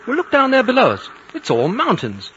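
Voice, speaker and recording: An animated, impetuous young British official. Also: male voice, very high voice, brisk, full character voice. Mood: alarmed. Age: young adult. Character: impetuous, sarcastic young British vice consul. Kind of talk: 1950s radio drama acting. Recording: noisy, muffled old radio broadcast.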